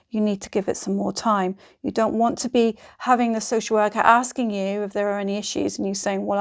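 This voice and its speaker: Female advice presenter, slightly high voice, informal and instructional, addressing the listener directly with reassurance. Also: a little mellow. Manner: encouraging, reassuring.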